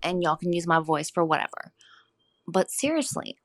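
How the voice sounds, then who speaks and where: A woman's voice: fairly low pitch, expressive, normal speed, no echo, clean studio sound. A woman with a Floridian accent leaving a chatty, frank voice message for a podcast. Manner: chatty, frank, says "y'all". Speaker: listener sending a voice message. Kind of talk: recorded voice message.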